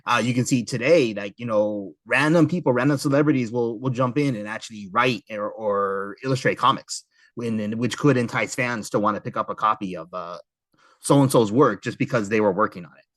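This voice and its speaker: A man's voice: lowish voice, a little fast, a bit bright, no echo. A man hosting a podcast in a casual, agreeable and informal conversational way. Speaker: podcast host. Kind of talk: casual chat.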